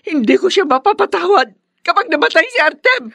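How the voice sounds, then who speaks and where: High, swooping, bitter mother. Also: big pitch swings, brisk, gravelly, fairly bright tone, dry recording, studio quality, heavily acted. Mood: bitter, outraged. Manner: dismissive, melodramatic. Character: cold, defiant, bitter mother. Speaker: radio drama actor. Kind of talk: Tagalog radio drama acting.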